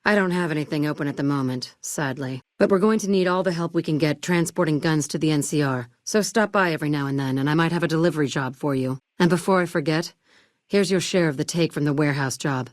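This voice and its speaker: A woman acting in a video game as a shrewd, coolly controlled arms dealer and crime-family boss, speaking in a measured, polished, commanding way. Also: low voice, no echo, clean studio sound, close to an everyday voice. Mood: businesslike. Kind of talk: scripted game dialogue acting.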